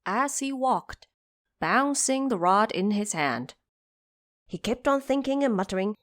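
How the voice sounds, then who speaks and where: Slow, expressive woman storyteller. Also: fairly high pitch. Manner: measured and expressive, explains old units in modern terms. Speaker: narrator. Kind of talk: scripted storybook narration.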